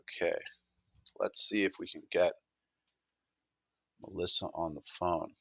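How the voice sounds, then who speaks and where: A man's voice: deep voice, little pitch movement, measured pace, vocal fry, sharp tone, no room sound, studio quality. A Canadian man presenting a technology demo in a casual, conversational way, often stammering and repeating words as he goes.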